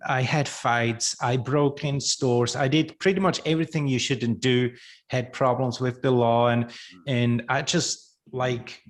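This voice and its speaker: Slow, halting man. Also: mid-range voice. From Switzerland. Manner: slow, halting, with many restarts. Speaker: guest. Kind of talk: podcast interview.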